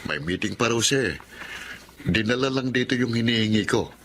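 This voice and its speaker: A man's voice: medium pitch, animated, moderate pace, a bit bright, a little hiss or hum, lightly acted. A man acting a kind, earnest eldest brother in a Filipino radio drama, with a Filipino accent. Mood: friendly, earnest. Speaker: voice actor.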